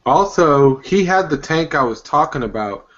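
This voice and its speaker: A man's voice: a little high, animated, moderate pace, a bit bright, highs cut off. A man co-hosting a casual live show, chatty and rambling, with lots of fillers as he recalls details.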